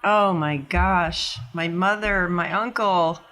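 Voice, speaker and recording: A woman's voice: fairly low pitch, slow pace, fairly bright tone. A woman speaking casually in character as a player in a tabletop game.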